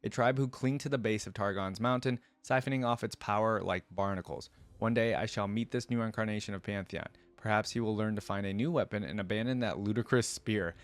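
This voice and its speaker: A man's voice: fairly low pitch, natural voice. A man voicing a proud, vengeful celestial dragon in grandiose, florid storybook narration. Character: proud, vengeful celestial star-forging dragon held captive.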